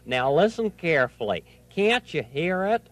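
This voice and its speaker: A man's voice: a little high, sing-song, moderate pace, noisy background. A man playing a fussy, easily bothered male owl puppet in a children's show, with a folksy, insistent manner. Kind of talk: children's television puppet play.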